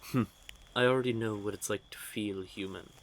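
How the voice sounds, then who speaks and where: Man playing a low-voiced, smug, flirtatious vampire. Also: fairly even intonation, normal speed, lightly acted, rough recording. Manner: teasing, smug, drawling menace. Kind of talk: audio roleplay, speaking intimately to one listener. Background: outdoor night forest ambience effects.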